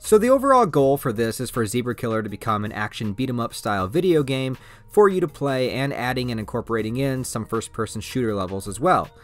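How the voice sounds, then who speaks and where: Man presenting over background music. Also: medium pitch. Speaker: YouTube host presenting a project. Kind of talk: scripted promotional narration.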